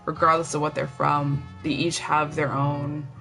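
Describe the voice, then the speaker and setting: A woman's voice: little pitch movement, measured pace, gravelly, sharp tone, low-quality recording. A young woman chatting casually on a live stream, blunt and profane, rambling with lots of filler words. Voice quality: lower voice than most women. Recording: faint music behind. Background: faint music.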